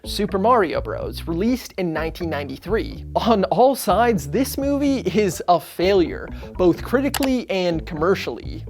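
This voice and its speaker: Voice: mid-range voice, a little mellow. A narrator reading a scripted video essay in a casual, conversational way. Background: background music.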